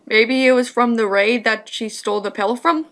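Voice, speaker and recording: A woman's voice: a little high, steady tone, normal speed, a bit bright. A woman giving casual reaction commentary on a story, musing and wondering aloud.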